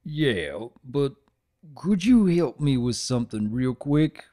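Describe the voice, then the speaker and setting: A shy storybook stallion of few words, voiced by a man. He talks in a hesitant, folksy, plain way, gentle as a big brother. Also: mid-range voice, lively intonation, takes it slowly. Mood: gentle, awkward.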